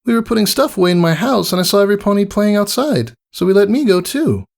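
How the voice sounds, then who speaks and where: Storybook role: scrappy young filly man acting, high, childlike, eager but hesitant. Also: big pitch swings, fast, vocal fry, heavily acted. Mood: explaining, earnest. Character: scrappy, awkward young female pony foal, eager and shy. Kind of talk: character voice in fan fiction reading.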